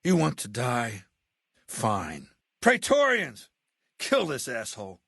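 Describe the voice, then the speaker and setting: An American man acting in a video game as a commanding, ruthless middle-aged warlord, speaking in a measured, blunt and imperious way. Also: mid-range voice, wildly swooping pitch, gravelly, a bit bright, breathy edge, dry recording, clean studio sound, full character voice. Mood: angry, pained.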